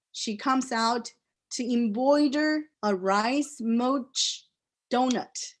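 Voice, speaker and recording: A poet whose first language is a Chinese dialect, speaking with a Chinese accent and reading her poems aloud in slow, deliberate phrases with pauses. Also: on the high side. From China. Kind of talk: poetry reading. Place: live reading event.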